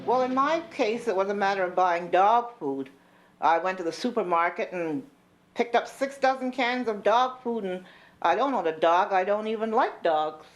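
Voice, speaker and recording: A woman's voice: mid-range voice, lively intonation, moderate pace, lo-fi sound, natural voice. An actress playing a puzzled housewife describing her odd purchase matter-of-factly. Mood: bemused. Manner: matter-of-fact, bemused. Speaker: interviewee.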